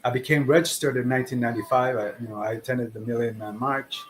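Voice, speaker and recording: A middle-aged man from Chile answering as a podcast guest in a modest, earnest and measured conversational way. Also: mid-range voice, steady tone, poor audio. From born in Chile. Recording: faint background noise.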